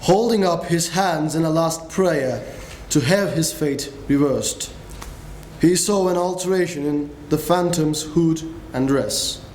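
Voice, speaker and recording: A man's voice: fairly high pitch, slow, darkish tone. A young man reading dramatic scripted narration of a story, as a student narrator. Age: young adult. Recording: echoey room with clear background noise.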